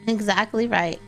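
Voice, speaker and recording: A woman's voice: mid-range voice, a bit bright. A young woman streamer from Texas, sounding congested and nasal, rambling casually to her live chat and correcting herself as she goes. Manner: rambling, self-correcting, chatty.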